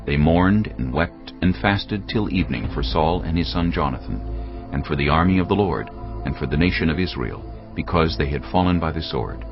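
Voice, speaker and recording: A man narrating a dramatized Bible in a measured, storytelling manner. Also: a bass voice, animated, dull audio, music in the background.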